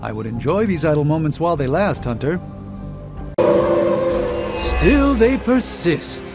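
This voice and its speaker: An actor playing a middle-aged, erudite sorcerer mentor in a video game, speaking with formal, grandiose eloquence and dry wit. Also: male voice, a little high, sing-song, measured pace, creaky, narrow, tinny audio, heavily acted. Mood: wry teasing. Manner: theatrical, eloquent, formal, wry. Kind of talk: video game character dialogue. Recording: game dialogue over prominent music.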